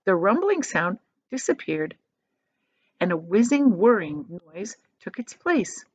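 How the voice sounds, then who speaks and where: A woman reading a children's book aloud as an expressive storyteller. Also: medium pitch, takes it slowly, slightly rough.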